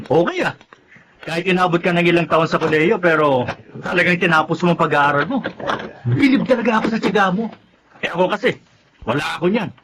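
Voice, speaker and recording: An actor playing a cheerful, easygoing, admiring older brother in a Filipino radio drama. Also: male voice, high voice, a little fast, long pauses, a bit bright, a little hiss or hum. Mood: admiring, teasing.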